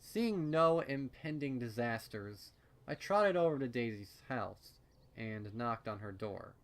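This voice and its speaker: A man's voice: medium pitch, animated, slow. Man reading as rambling, nervous young mare florist. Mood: wry, narrating. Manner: rambling, hesitant, stammering asides. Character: chatty, nervous young female pony florist narrating. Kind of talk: first-person storybook narration in character.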